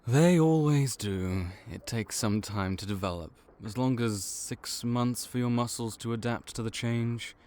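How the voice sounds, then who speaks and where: A man's voice: medium pitch, a little slow, hardly any pauses, a little mellow, lightly acted. A man voicing a male vampire intake officer in an audio roleplay, matter-of-fact and wry as he walks through the paperwork.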